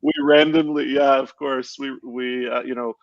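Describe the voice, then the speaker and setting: A man's voice: medium pitch, steady tone, normal speed, creaky, no echo, highs cut off. A male podcast co-host, chatting enthusiastically on a video call and rambling through fillers and restarts. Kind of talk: casual podcast chat.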